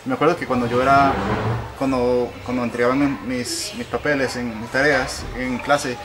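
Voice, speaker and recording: A man from Puerto Rico interviewing conversationally and sharing personal anecdotes, halting and correcting himself as he goes. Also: medium pitch, little pitch movement. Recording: noticeable background noise.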